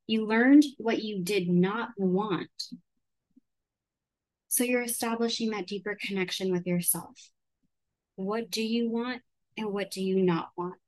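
Woman host, mid pitch, dry close sound, a little raspy and congested, earnest chatty delivery. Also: fairly even intonation, a little slow, long pauses, a little mellow, no room sound, low-quality recording. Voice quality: slightly raspy. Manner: earnest, chatty, often asks "right?". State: congested from allergies. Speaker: podcast host. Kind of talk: casual solo podcast talk.

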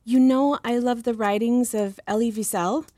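A woman's voice: on the high side, fairly even intonation, normal speed, mellow, no room sound, close to an everyday voice. An actress playing a modest, diligent young female research assistant, earnest and politely deferential, in a fiction podcast.

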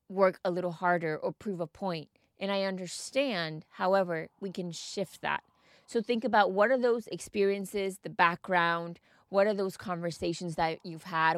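A woman's voice: lowish voice, a bit bright, smooth, no echo, clean studio sound. A woman from the United States hosting a solo mindset podcast, talking the listener through reflective questions in a coaching manner. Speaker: podcast host.

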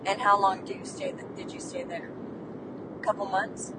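A woman's voice: huge pitch swings, moderate pace. A woman podcast host casually interviewing from a car on a road trip, curious and full of short questions. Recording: distant mic, loud car rumble.

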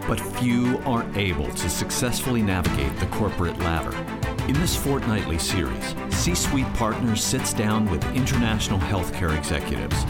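A man reading a scripted introduction to a series in a dramatic announcer style. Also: lowish voice. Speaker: series narrator. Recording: voice over loud music.

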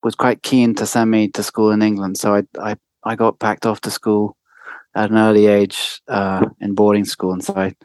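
A man from France, a winemaker guest, answering interview questions in a hesitant way with many restarts and soft laughs. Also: fairly low pitch, level tone, normal speed, clean tone, dry recording. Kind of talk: conversational interview.

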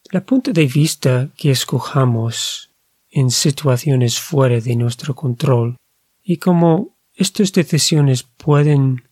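A man's voice: mid-range voice, dark tone, no room sound. A man hosting a podcast, speaking careful, earnest Spanish. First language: English. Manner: careful, earnest, slightly hesitant non-native Spanish. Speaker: podcast host. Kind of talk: slow reflective podcast monologue.

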